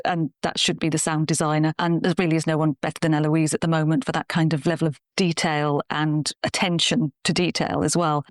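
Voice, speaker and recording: A woman's voice: low voice, dry recording. British woman producer, dry studio sound. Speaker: radio drama producer and director. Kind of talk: conversational interview.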